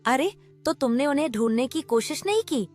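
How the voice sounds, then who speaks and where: A woman voicing a curious village woman who asks prying questions in a high, inquisitive voice over faint music. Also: animated, a little fast.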